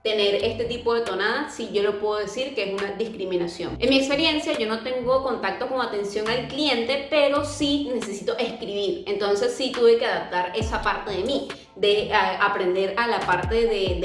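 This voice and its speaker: Young venezuelan woman, earnest vlog talk, roomy echo, clear music underneath. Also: mid-range voice. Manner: earnest, with frequent fillers and restarts. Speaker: YouTuber. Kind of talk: casual vlog talk to camera. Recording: echoey room with music behind.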